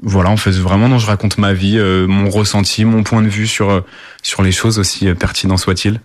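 Hesitant young man, low voice. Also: level tone, fairly quick, no echo, studio quality. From Paris suburbs, France. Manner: hesitant, many fillers and restarts. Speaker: rapper, guest. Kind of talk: casual interview answers.

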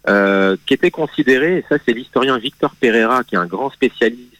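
A man guest on a radio interview, explaining history in a measured way with frequent hesitations and restarts. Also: medium pitch, expressive, a bit bright, dry recording, soft background music, poor audio. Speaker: magazine guest speaking on history.

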